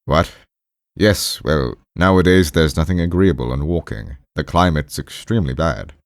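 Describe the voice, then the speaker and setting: A man's voice: extremely low pitch, moderate pace, dry recording. A man voicing a pompous, brusque doctor in an audiobook, ponderous and emphatic, with hems and haws. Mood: puzzled, dismissive. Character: pompous, brusque male doctor lecturing his patient. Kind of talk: audiobook character voice.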